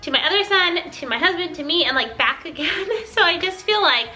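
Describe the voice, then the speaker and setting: A woman's voice: very high-pitched, lively intonation, fairly quick, sharp tone, faint music behind. A woman hosting a casual home decor vlog, chatting in an upbeat way with a nasal, congested-sounding voice. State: congested from being sick. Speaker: video host, home decor vlogger.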